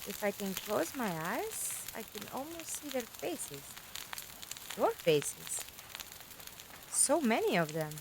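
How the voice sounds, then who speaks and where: A woman acting in a fan audio drama as a bewildered, earnest, quick-witted companion who questions everything. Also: on the high side, sing-song, background noise, exaggerated voice. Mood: eerie wonder.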